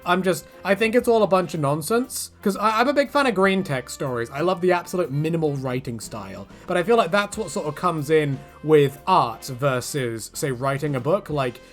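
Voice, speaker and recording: A man casually answering viewer questions in a rambling, animated way, his pitch jumping up whenever he slips into quoted asides. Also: normal speed, hardly any pauses, soft background music. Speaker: YouTube creator answering fan questions. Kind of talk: casual Q&A monologue.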